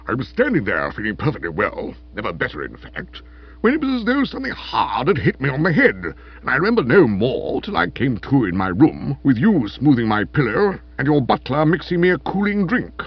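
A man's voice: medium pitch, wildly swooping pitch, brisk, slightly rough, highs cut off, heavily acted. A man voicing a huge, pompous lord with a weakened voice and a stiff, formal manner, in a comic audiobook. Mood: wounded dignity. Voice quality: weak voice.

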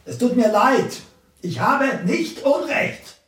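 An actor playing a blunt, vain old playwright in a German radio drama, condescending and halting, often dropping into a breathy mutter. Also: male voice, very high-pitched, big pitch swings, background noise, heavily acted. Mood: wounded, blunt. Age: older. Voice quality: gravelly, husky, often breathy. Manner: halting, pointed, drops into muttering.

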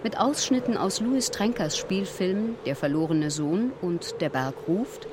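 Low-voiced woman narrating, noisy background. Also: unhurried. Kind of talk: scripted radio play narration.

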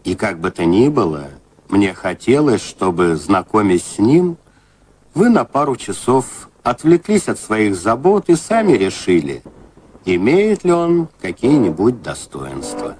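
A man's voice: lowish voice, big pitch swings, measured pace, a little mellow, slight background noise. An actor playing an urbane, dryly ironic author who narrates his own story in a radio drama. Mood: dryly amused. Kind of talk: dramatised first-person narration.

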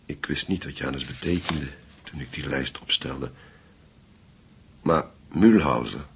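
An actor playing a grave, methodical investigator in a radio drama, with a husky voice and a measured, deliberate delivery. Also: male voice, extremely low pitch, exaggerated voice. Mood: thoughtful, probing. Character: grave, methodical male investigator piecing together a wartime secret. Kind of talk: Dutch radio play acting.

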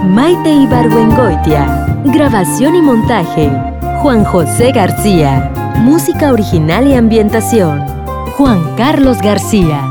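A woman announcer reading scripted radio drama credits. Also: a little high. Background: loud music.

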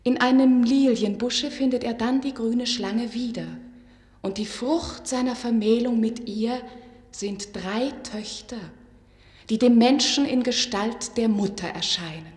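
An actress playing a tender young snake-maiden spirit in a radio fairy tale, solemn, loving and incantatory, with a dreamlike reverb effect. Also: female voice, fairly high pitch, unhurried, darkish tone. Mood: solemn, storytelling. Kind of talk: German radio play acting, fairy-tale storytelling. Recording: long reverberant echo, music behind.

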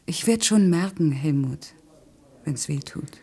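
An actress playing a headstrong, defensive working-class woman in a naturalistic radio play, curt and dryly self-mocking, with a husky voice. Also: deep voice, lively intonation, measured pace, a little mellow, heavily acted. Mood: stubborn, earnest.

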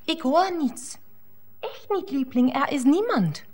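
A woman's voice: high-pitched, lively intonation, a little slow, darkish tone. An actress playing an amorous, coaxing German girlfriend with a German accent, cooing endearments and slipping German words into her Dutch in a radio play. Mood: soothing.

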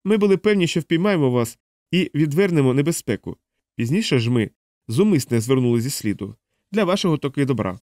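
A resolute male scientist, as a male narrator acts him out, speaking well above an everyday male pitch in a dry, close sound. He is commanding and deliberate, lecturing with cool insistence as in an audiobook adventure. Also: big pitch swings, a little mellow, no echo. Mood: cool, reasoning. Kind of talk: audiobook character dialogue.

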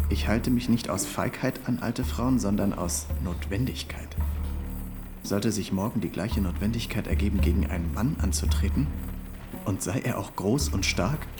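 An actor playing a brisk, inquisitive young newspaper reporter in a radio play. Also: male voice, fairly low pitch, creaky, over music. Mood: reading grimly. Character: keen, probing nineteen-year-old male newspaper reporter.

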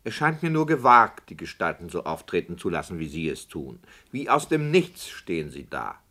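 A fussy, critical director, bright tone, expressive voice. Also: male voice, low voice, moderate pace, full character voice. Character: skeptical, formal male radio director.

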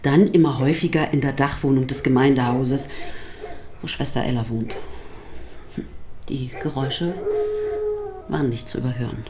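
A composed pastor's wife in a radio play. Her voice is low, and she speaks with dry irony and careful discretion. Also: steady tone, heavy background noise. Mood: dry, discreet. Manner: dry, measured, delicately euphemistic. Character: composed, dryly ironic pastor's wife giving testimony. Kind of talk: German radio drama acting.

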